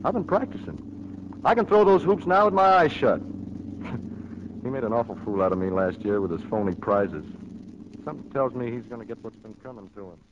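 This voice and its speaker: A man's voice: medium pitch, big pitch swings, normal speed, pauses often, close to an everyday voice. A young man playing an eager, cocky farm boy in a 1940s radio drama, earnest and plain-spoken. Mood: cheerful, cocky. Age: young adult. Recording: dull, noisy 1940s radio broadcast.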